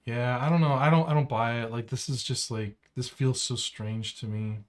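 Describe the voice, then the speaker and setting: A man's voice: mid-range voice, steady tone, moderate pace. A man with an American accent co-hosting a podcast in casual, slangy banter peppered with "like" and "man". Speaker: podcast co-host.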